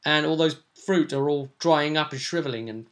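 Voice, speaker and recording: A man hosting as game master, casually recounting a tabletop roleplay session in an animated storytelling way, often ending on tag questions. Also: a little high, normal speed. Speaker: game master and show host.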